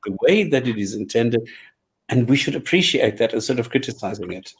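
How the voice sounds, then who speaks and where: Casting: male co-host, mid-pitched, measured, given to repeating himself while he clarifies. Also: lively intonation, slight background noise. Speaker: podcast co-host. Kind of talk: casual discussion, reading translations.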